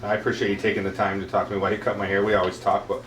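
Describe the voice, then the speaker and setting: A man's voice: lowish voice, flat delivery, very fast, fairly bright tone, far from the mic, a little hiss or hum. A male podcast host asking questions and chatting in a friendly way while getting a haircut in a barber shop. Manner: friendly, affirming, brief replies. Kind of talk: interview conversation. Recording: roomy sound from off-mic.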